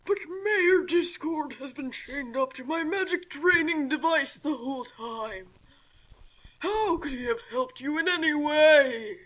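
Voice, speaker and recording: A woman's voice: high-pitched, lively intonation, a little slow, a bit bright, low-quality recording. A woman voicing a villainous talking book that explains its schemes formally in a comic fan fiction reading. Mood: baffled.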